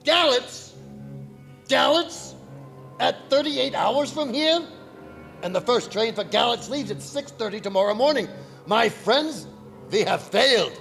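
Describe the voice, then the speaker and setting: An actor playing an intense, commanding vampire-hunting professor in a theatrical radio drama. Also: male voice, very high voice, animated, unhurried, gravelly, long pauses, fairly bright tone, full character voice. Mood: alarmed, commanding. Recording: faint music behind live-streamed performance.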